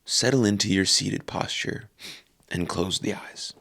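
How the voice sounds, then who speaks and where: A man leading a guided meditation calmly and unhurriedly, in short phrases with long pauses. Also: fairly low pitch, creaky.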